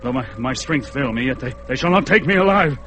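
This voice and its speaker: An actor playing Conan, a fierce young barbarian warrior, speaking bold archaic lines in an old radio drama. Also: male voice, medium pitch, lively intonation, brisk, soft background music. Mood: dazed, wondering. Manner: bold, loud, archaic speech. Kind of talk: classic radio drama acting.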